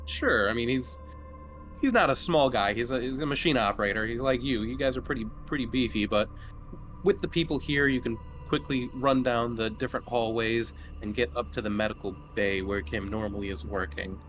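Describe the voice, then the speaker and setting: A man's voice: medium pitch, brisk, fairly bright tone, soft background music. A man narrating a tabletop horror game as game master, conversational and descriptive. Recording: noticeable background noise.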